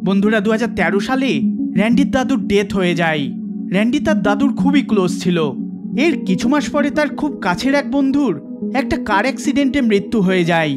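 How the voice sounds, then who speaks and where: A man with a very high-pitched voice delivers scripted documentary narration. He tells the story dramatically, and loud music plays behind him throughout. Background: loud background music.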